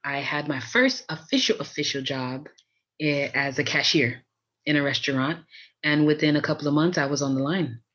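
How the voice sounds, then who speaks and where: A woman's voice: low voice, moderate pace, fairly bright tone, dull audio. An American woman from Miami hosting a podcast, telling personal stories in an introduction. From Miami, USA. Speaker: podcast host.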